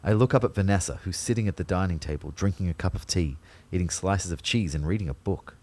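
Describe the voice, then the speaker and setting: A man's voice: deep voice, fast talker, a little mellow. A man playing an anxious, lovelorn dead young man, narrating his limbo introspectively in the first person for an audio drama. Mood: subdued, uneasy. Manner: introspective, intent.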